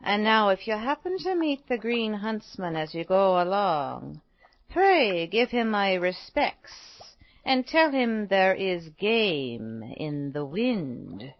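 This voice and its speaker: A woman voicing a poor, humble old fairy-tale woman who mumbles slowly. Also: mid-range voice, very animated, no room sound, dull audio. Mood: grateful. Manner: slow mumbling.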